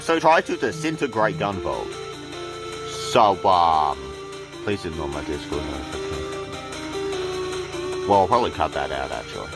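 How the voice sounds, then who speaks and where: A man giving unscripted, rambling gameplay commentary in an irreverent, sweary way. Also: low-pitched. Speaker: video creator.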